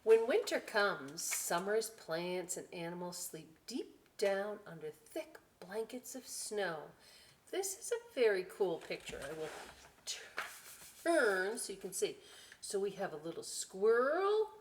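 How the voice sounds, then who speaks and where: A woman reading aloud to children as a story time host, expressive and drawn out, with chatty conversational asides. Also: mid-range voice, takes it slowly, slight background noise. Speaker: library story time reader.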